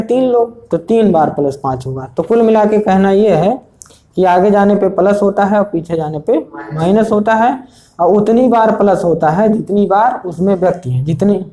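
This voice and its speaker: A man's voice: sits high, warm tone. A male teacher explaining age problems step by step for an entrance exam, repeating rules and often checking whether students follow. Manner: repetitive, checks understanding often. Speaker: math teacher. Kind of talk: exam prep lesson at a board.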